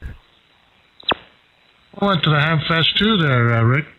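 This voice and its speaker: A man's voice: a little high, lively intonation, slow. A man in casual, hesitant conversation as a ham radio operator, heard over a radio transmission. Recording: dull radio link with faint noise.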